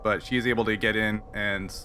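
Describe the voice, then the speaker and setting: A man's voice: on the low side, fairly even intonation, a little fast, sharp tone, slight background noise. A man narrating and refereeing a tabletop roleplaying game in an easygoing, conversational way. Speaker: game master. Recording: background music under the voice.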